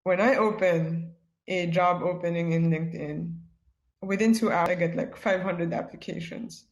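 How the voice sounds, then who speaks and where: A woman's voice: fairly low pitch, moderate pace, dull audio. Chatty woman in an echoey room. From Philippines. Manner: chatty, frequent "like" and "yeah" fillers. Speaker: guest speaker, product manager. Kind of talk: casual webinar talk.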